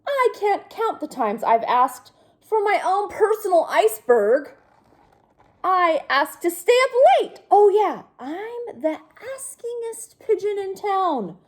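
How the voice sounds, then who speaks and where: An indignant storybook pigeon, acted out by a woman, pitched very high and swooping wildly. It whines and feels sorry for itself, stretching complaints out with melodramatic outrage. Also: sing-song, full character voice. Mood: boastful griping. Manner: whining, exclamatory, drawn-out complaining. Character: indignant, self-pitying, melodramatic young pigeon. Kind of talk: children's picture book read-aloud.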